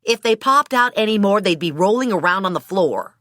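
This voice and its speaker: A woman's voice: medium pitch, expressive, fairly quick, a bit bright, no echo, studio quality, close to an everyday voice. A woman voicing a wry, teasing, sensible female character in audiobook dialogue. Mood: wry, placating. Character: wry, sensible woman calming her hot-headed male friend.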